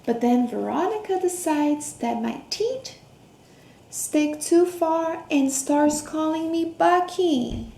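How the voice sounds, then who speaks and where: A woman reading a picture book aloud to children, expressive, with questions, gasps, hums and hushed asides. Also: high voice, slow, vocal fry. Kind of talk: interactive children's read-aloud with questions to young listeners. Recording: roomy echo, faint background noise.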